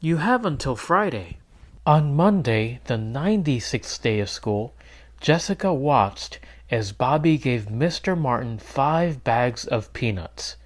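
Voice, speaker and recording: A man reading a children's picture book aloud as a teacher, in an expressive storytelling style. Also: medium pitch, slow.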